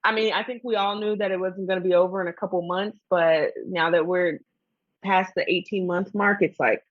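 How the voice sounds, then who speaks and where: A woman who works in pediatric dialysis, talking thoughtfully with frequent "um" pauses. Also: fairly low pitch, fairly even intonation, dry recording, muffled recording. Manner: thoughtful, measured, with frequent "um" hesitations. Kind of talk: casual conversation on a podcast.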